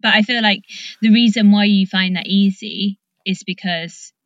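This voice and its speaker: A woman's voice: medium pitch, steady tone, moderate pace, no echo. Woman interviewer, slightly muffled, dry sound. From English Midlands. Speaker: podcast host, actor. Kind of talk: casual conversational interviewing.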